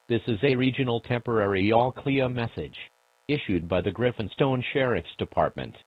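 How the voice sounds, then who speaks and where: A man's voice: medium pitch, animated, moderate pace, no echo. A man reads a scripted emergency broadcast announcement in a formal, stern, official manner. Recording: muffled, band-limited emergency broadcast audio.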